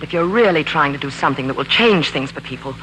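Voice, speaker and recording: A woman's voice: low-pitched, animated, fast talker, fairly bright tone. An actress playing a spirited, independent woman, tender but firm, direct and emotional in 1940s radio drama style. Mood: firm, sharp. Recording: noisy, humming 1940s radio recording.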